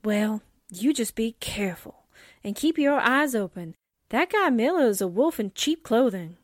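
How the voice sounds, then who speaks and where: An actress playing a sassy, suspicious, wisecracking secretary with a blunt, slangy manner in a 1940s-style radio drama. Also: female voice, fairly high pitch, lively intonation, measured pace, no room sound, clean studio sound. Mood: wary.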